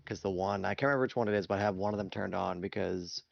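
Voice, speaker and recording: Gravelly, hesitant man, lo-fi and distant. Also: low-pitched, rapid-fire, no echo. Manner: hesitant, many restarts. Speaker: friend getting setup help. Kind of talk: casual chat.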